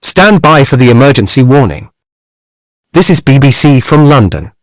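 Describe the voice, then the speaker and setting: A man's voice: mid-range voice, big pitch swings, moderate pace, no echo. A man reading a formal scripted emergency warning announcement.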